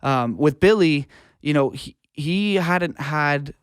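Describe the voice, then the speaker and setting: A man with a clean, smooth voice and very little room sound talks casually as an interview guest. He is earnest and informal, sharing stories with easygoing enthusiasm. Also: fairly high pitch, slow, dry recording, clean studio sound. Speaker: guest, touring live sound engineer and studio producer. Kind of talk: casual interview conversation, storytelling.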